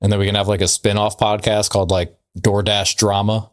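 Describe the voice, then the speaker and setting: A man's voice: lowish voice, level tone, brisk. A man co-hosting a podcast in casual banter, with dry teasing and hesitant phrasing. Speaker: podcast co-host.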